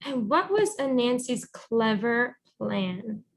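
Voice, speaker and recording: A woman reading a storybook aloud as a teacher, encouraging and pausing to guide with questions. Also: on the high side, big pitch swings. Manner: encouraging, instructive.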